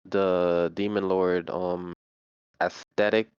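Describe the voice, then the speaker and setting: A man's voice: sits low, level tone, slow, a little mellow, clean tone, dry recording, highs cut off. A young man co-hosting a casual anime discussion stream, laid-back and slangy with dry humor. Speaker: stream co-host.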